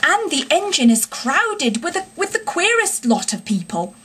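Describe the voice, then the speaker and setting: A woman's voice: on the high side, normal speed, lightly acted. A woman voicing a stern but kind-hearted male engine driver in a storybook, earnest and plain-spoken. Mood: urgent alarm.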